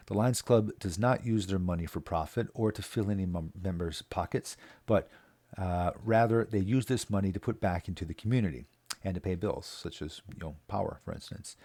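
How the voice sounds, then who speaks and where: A man with a very low, mellow voice speaks earnestly as a local community advocate. He talks plainly, pausing on fillers and restarting sentences. The sound is dry and close, with no room around it. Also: no echo. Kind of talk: casual spoken video update.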